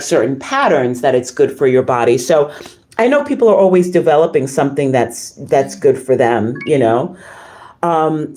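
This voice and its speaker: Woman livestream host, dark relaxed tone, a little hoarse, chatting haltingly and effusively with viewers. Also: low-pitched. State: slightly hoarse. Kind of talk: casual livestream chat, reading viewer comments.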